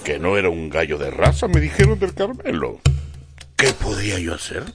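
A man's voice: low voice, wildly swooping pitch, normal speed, over music. An actor playing a proud, stubborn father in a radio drama, speaking emphatically. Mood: defensive. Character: proud, stubborn father who keeps a fighting cock. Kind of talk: Spanish-language radio drama acting.

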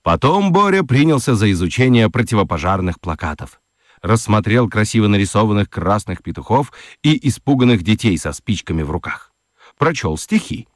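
Narrator for children's radio: man, fairly deep, expressive, dry studio sound. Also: normal speed, dry recording. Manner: expressive storyteller. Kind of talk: scripted storytelling for children's radio.

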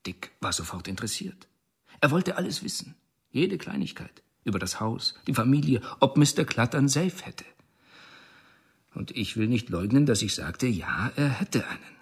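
A plain-spoken prison informant in a radio play. His voice is husky and mid-pitched, with lively rises and falls as he recounts events uneasily. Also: moderate pace. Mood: confessional. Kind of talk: radio drama monologue.

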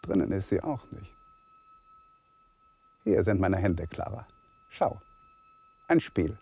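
An actor playing an anxious young lawyer in a fragmented, brooding inner monologue that drifts into whispers, in a radio play. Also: male voice, low voice, huge pitch swings, takes it slowly, mellow, breathy edge, full character voice. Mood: dazed, haunted.

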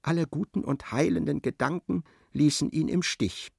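A man narrating a literary radio play in a measured, precise and dramatic way. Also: on the high side, vocal fry, darkish tone, breathy edge, no room sound, clean studio sound.

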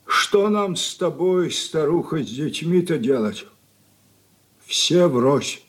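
An actor playing a stern, grumbling old merchant father in a radio drama, gruff and reproachful. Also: a little high, expressive, takes it slowly. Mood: weary, troubled. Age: older. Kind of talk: Soviet radio drama acting.

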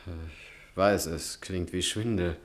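A young writer full of respect and eagerness in a radio drama. His voice is on the low side, and his delivery is polite, earnest and articulate. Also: takes it slowly. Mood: respectful, curious. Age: young adult. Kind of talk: German radio play acting.

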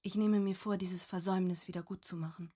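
A woman's voice: on the low side, steady tone, fairly quick, warm tone, husky. An actress playing a reflective, wistful young woman reading her diary in a literary radio drama, composed and carefully articulated.

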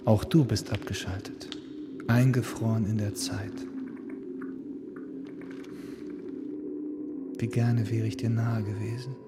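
An actor playing a lonely, brooding young man in a radio play, speaking slowly and often in a hush. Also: medium pitch. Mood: tender, hushed. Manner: slow, brooding monologue, often hushed or whispered. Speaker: Kuno, lead in a radio play. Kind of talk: radio drama acting.

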